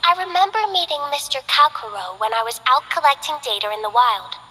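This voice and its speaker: An actress voicing a gentle, shy young female botanist in a game, speaking softly with some hesitation. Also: high voice, animated, fairly quick, big-room sound, a little hiss or hum, low-quality recording. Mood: cheerful. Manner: soft-spoken, hesitant. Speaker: game character. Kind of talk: game voice lines.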